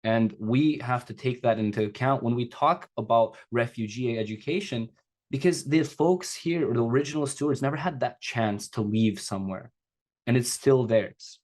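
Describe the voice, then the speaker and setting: Man, middle pitch, casual earnest talk, video call. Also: moderate pace, a little mellow. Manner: casual, earnest, conversational with a few laughs. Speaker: secondary teacher and speaker. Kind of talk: personal talk to an audience. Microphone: remote video call.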